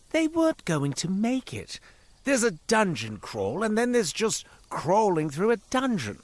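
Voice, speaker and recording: A man's voice: a little high, no echo. An actor playing a chatty, self-important male merchant in a video game, wry, cowardly and theatrical, given to digressions as he tells his tale. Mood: sly, self-justifying. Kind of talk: theatrical character monologue, storytelling.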